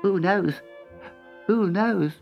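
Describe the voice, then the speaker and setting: A man's voice: high voice, very slow, vocal fry, highs cut off, faint music behind, pitched above the performer's own voice, heavily acted. A man voicing a clever, courteous talking cat in a storybook, with a formal, courtly manner. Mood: sly, teasing. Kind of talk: storybook character voice.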